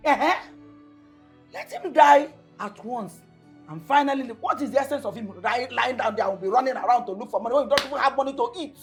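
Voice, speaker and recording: A woman's voice: lowish voice, a little slow, long pauses. A woman acting a bitter, impatient middle-aged mother in a film drama, with a Nigerian accent, scolding and repeating herself for emphasis. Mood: bitter, agitated. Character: bitter, impatient mother, callous toward her sick husband. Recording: music playing behind the dialogue.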